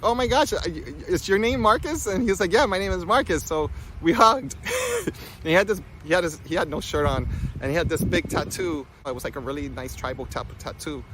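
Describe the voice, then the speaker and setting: A man giving an informal spiritual talk with personal stories, in an animated, conversational manner. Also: a little high, background noise. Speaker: spiritual healing coach and vlogger.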